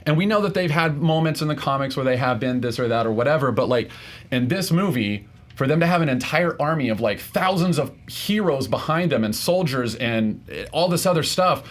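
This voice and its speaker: A man's voice: mid-range voice, expressive, fairly quick. Male debate-show contestant, mid-range voice, emphatic and conversational, leans on repetition to press a point. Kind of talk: casual pop-culture debate.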